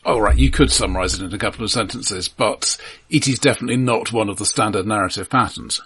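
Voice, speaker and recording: A man in casual discussion as a film podcast host, analytical and measured, pausing and hesitating as he reasons. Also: fairly low pitch, a bit bright, breathy edge.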